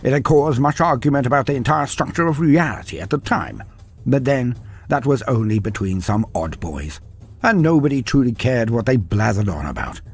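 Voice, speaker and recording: A man's voice: mid-range voice, expressive, normal speed, husky. A man narrating a humorous story in a dry, wry manner, breaking off into playful sidebars. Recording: faint music behind narration.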